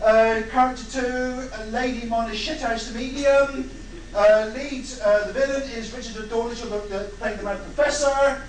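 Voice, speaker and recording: A man's voice: very high voice, fairly even intonation, normal speed, slight background noise. A British man hosting an informal audience brainstorm, exasperated, sweary and bantering. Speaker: host taking audience suggestions. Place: large echoey indoor event room with audience. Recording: echoey room, far mic, crowd chatter.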